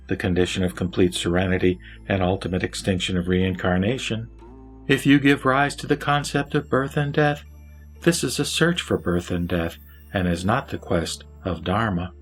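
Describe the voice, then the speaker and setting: Solemn wise sage, man reads him, lower voice, earnest instructive tone, faint music bed. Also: moderate pace, natural voice. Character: Vimalakirti, wise lay sage teaching.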